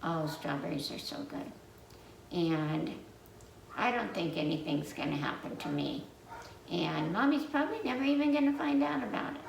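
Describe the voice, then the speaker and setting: A woman's voice: lowish voice, expressive, moderate pace, gravelly. A woman voicing a hungry little ghost girl in a children's story, eager and making excuses for herself. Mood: eager, tempted. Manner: eager, self-justifying. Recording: roomy, distant mic with faint noise.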